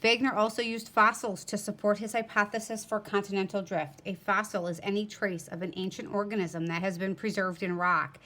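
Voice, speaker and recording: A woman's voice: medium pitch, fairly even intonation, a little fast, few pauses, bright, forceful tone. A woman teacher reads a textbook aloud to students, breaking off for informal explanatory asides.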